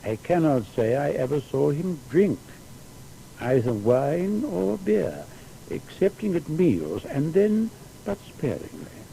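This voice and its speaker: A respectful secretary in an audio biography. The voice is gravelly and very slow, rising and falling in deliberate phrases. Faint noise sits behind it. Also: male voice, fairly high pitch, big pitch swings, warm tone, exaggerated voice, rough recording. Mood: respectful recollection. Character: Newton's secretary, plain respectful man recalling his master. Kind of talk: dramatised testimony in audio biography.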